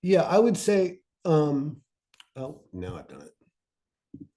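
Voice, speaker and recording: A man co-presenting an informal workshop, explaining in a halting way with frequent "uh" and "you know". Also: a little high, sing-song, warm tone.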